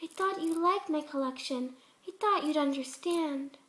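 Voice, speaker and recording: A woman voicing a sweetly menacing female pegasus pony in a story reading, gentle and eagerly coaxing. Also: high voice, unhurried, voice pushed up. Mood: wounded, pleading.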